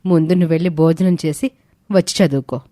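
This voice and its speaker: A woman's voice: low voice, steady tone, quick pace, mellow, dry recording, clean studio sound, lightly acted. A woman playing a kindly, motherly hostel warden who speaks encouragingly in an audio story. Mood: concerned, admiring. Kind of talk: scripted audio story dialogue.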